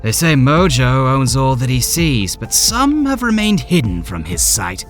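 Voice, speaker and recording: An actor voices a grim rebel who guides the heroes. He is earnest and commanding, with a mid-pitched voice. Faint music runs beneath him. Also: sing-song, a little slow. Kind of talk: audio drama acting.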